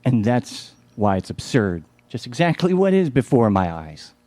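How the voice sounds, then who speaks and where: An actor playing a blunt, skeptical, penny-pinching husband in a live radio play, with wry, slangy talk. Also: male voice, mid-range voice, big pitch swings, normal speed, mellow, no echo, close to an everyday voice.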